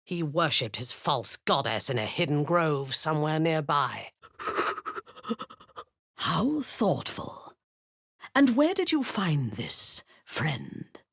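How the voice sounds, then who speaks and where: An imperious, husky warrior-commander. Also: female voice, sing-song, slow, a bit bright, heavily acted. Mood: commanding. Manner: low, husky, half-whispered menace. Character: cold, imperious woman warrior-commander, zealous cultist. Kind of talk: video game character acting.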